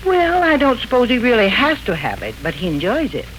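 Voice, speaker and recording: Proper, expressive older family matriarch. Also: female voice, on the high side, brisk. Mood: gentle. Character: grandmotherly family matriarch, gentle but stubborn about change. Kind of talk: 1940s radio serial acting. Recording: noisy 1940s radio recording.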